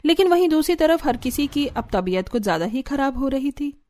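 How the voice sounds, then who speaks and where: A woman's voice: high voice, sing-song, fairly quick, dark tone, a little hiss or hum. A woman narrating a cartoon story in plain, scripted storytelling.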